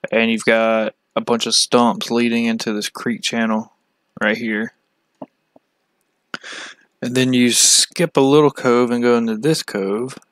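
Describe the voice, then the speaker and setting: Slow-talking man, clear and dry. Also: mid-range voice, steady tone, fairly bright tone, no echo. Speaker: fishing channel host. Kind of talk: casual screen-share map walkthrough.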